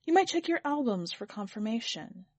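A woman's voice: fairly high pitch, big pitch swings, normal speed, darkish tone, no echo. A woman voicing a nervous veteran male painter in a story, deferential but frank. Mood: wary, frank.

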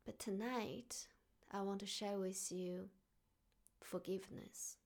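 A woman from China with a Chinese accent, speaking earnestly and softly as the host of a reflective solo podcast. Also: mid-range voice, steady tone, takes it slowly.

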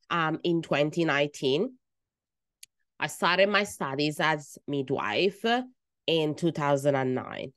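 A woman in her thirties with an Italian accent, a midwife telling her own story on a podcast in an earnest, softly emphatic way, pausing between phrases. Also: low voice, dry recording, clean studio sound.

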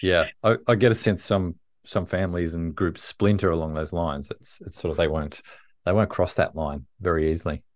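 A podcast host asks curious, halting questions. His voice is recorded dry and close, with almost no room sound around it. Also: sits low, fairly even intonation, no room sound. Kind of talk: interview conversation.

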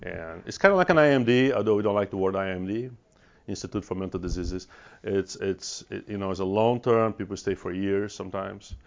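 Man, brazilian accent, middle-aged, gravelly, halting restarts, dull lo-fi sound. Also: low voice. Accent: Brazilian Portuguese. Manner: halting, many restarts and repeated words. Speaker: regional director of mental health programs. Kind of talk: presentation to an audience.

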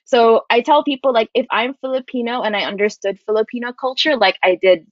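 A woman's voice: on the high side, brisk, dry recording. Chatty young woman, dry and muffled. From Kuwait. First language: Tagalog. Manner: animated storytelling with many likes and restarts. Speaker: podcast guest. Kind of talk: casual chat.

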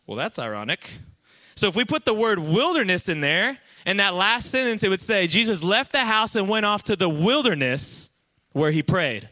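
A man preaching to a young congregation, his voice bright and sitting a little high. He talks in an energetic, chatty way and keeps asking the room to answer back. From Iran. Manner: energetic, chatty, asks the crowd for responses. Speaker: pastor. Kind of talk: sermon to a young adult congregation.

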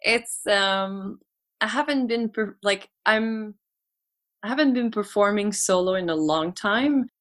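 A woman's voice: medium pitch, slow, fairly bright tone. A woman, a singer, talking casually as a podcast guest, with many restarts. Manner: hesitant, many restarts and laughs. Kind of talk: casual conversation.

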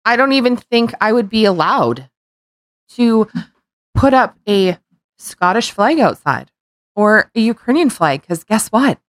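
A woman's voice: mid-range voice, no echo, studio quality. A middle-aged woman co-hosting a podcast, talking in a heated, rambling way with frequent restarts and swearing. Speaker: podcast co-host. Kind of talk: casual opinion chat.

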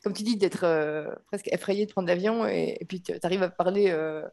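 A woman's voice: lowish voice, normal speed, mellow. A woman from Belgium hosting an interview podcast, chatting casually and restarting her questions as she goes. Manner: friendly, restarts and repeats words when asking. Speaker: podcast host.

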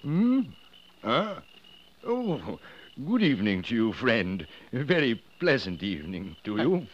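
A man's voice: mid-range voice, wildly swooping pitch, a little slow. A genial clergyman welcomes his visitor in a kindly, flustered way, his voice rising and falling freely. The recording is dull and lo-fi, with a faint hiss underneath. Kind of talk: 1950s radio drama acting.

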